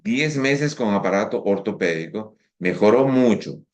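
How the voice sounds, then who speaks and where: Low-voiced man lecturing with halting restarts. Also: animated, darkish tone. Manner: halting, frequent restarts and fillers. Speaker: orthodontist lecturer. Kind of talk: conference lecture. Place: conference hall.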